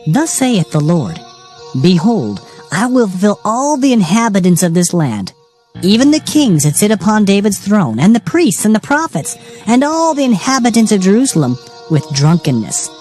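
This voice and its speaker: A woman's voice: medium pitch, big pitch swings, moderate pace, warm tone, faint music behind. A woman narrating dramatized scripture in a solemn, declamatory manner. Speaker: Bible narrator.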